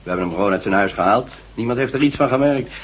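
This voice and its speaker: A man's voice: on the low side, racing, slightly rough. A man playing an unruffled resistance fighter in a Dutch radio drama, matter-of-fact and nonchalant. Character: casual, unruffled male resistance fighter reporting back. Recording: dull, muffled radio play recording.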